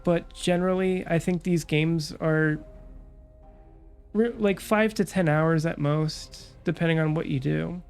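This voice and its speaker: A young woman VTuber chatting calmly about her stream plans, her nose stuffed up from a cold, with lots of fillers. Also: low voice, a little slow, smooth, faint music behind. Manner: calm, relaxed, rambling with many fillers. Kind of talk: casual stream chat.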